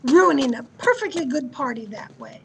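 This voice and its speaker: A woman's voice: high voice, animated, moderate pace, creaky, background noise. A woman playing a domineering, haughty, easily outraged society wife in an old-time radio drama, scolding and imperious. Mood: indignant, scolding. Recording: amateur video-call recording.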